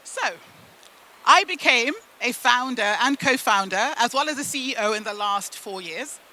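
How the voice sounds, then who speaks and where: A woman from the UK and Zimbabwe, a native Shona speaker, giving a motivational storytelling talk with deliberate phrasing and emphatic pauses. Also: a little high, expressive, pushed and bright. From United Kingdom and Zimbabwe. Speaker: keynote speaker at a business event.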